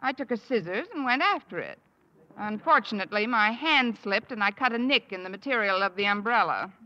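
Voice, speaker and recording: An actress playing a wisecracking high school teacher in a 1950s radio sitcom, with dry, sardonic delivery. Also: female voice, animated, fairly quick, sharp tone. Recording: old radio broadcast, dull and noisy.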